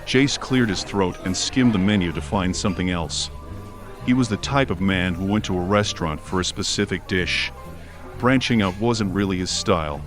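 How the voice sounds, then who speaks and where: A man's voice: deep voice, normal speed. A man reading scripted fiction as an audiobook narrator, telling the story in prose. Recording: faint music behind narration. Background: faint music.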